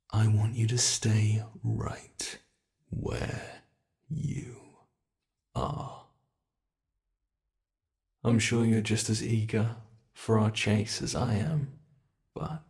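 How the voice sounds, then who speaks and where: A British man doing a gravelly taunting bed monster. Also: mid-range voice, darkish tone. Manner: slow, taunting, menacing, drifting into whispers. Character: sadistic male monster that hunts from under the bed. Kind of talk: ASMR horror roleplay.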